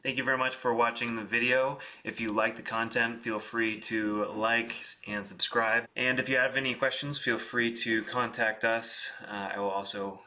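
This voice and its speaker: A man who grew up in Oregon, hosting a relaxed, chatty interview as a real estate agent. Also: mid-range voice, normal speed, hardly any pauses. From Oregon, USA. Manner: relaxed, chatty, many filler words and restarts. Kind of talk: casual interview questions.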